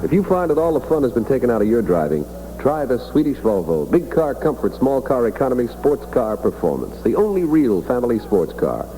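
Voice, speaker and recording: A man's voice: mid-range voice, big pitch swings, a little fast, mellow, slight background noise, low-quality recording. A man hosting a morning radio show in 1960s announcer style, reading time checks and ads. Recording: vintage 1960s radio broadcast.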